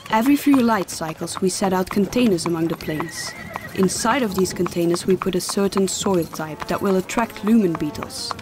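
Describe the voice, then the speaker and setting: An actress playing a kindly, instructive mentor in a fantasy audio drama, explaining things formally and patiently. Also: female voice, fairly low pitch, moderate pace, lightly acted. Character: kindly, instructive female mentor of a fantasy light-gathering trade. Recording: clearly noisy background of clicks and horse-like sounds.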